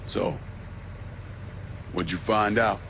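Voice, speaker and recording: An actor playing a grim, relentless vigilante who speaks tersely and bluntly in a video game cutscene. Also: male voice, fairly low pitch, fairly even intonation, slow, vocal fry, background noise. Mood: terse, determined.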